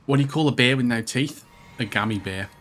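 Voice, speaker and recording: Guy doing a lets play, mid pitch voice, rambly n jokey, bit sweary. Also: fairly quick, a bit bright. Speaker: video game streamer. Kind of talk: casual co-op let's play chat.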